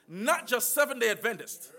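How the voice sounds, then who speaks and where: High, bright-voiced male preacher. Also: big pitch swings. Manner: emphatic preaching with call-and-response, sudden slow drawn-out phrases and shouts. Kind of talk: sermon.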